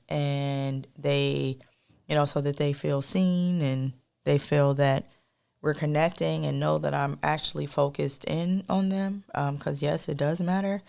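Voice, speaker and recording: Soft-voiced woman with a gravelly edge. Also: low-pitched. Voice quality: soft melodic voice. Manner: rambling, addresses listeners as y'all, many fillers. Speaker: podcast co-host. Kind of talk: casual chatty monologue to listeners.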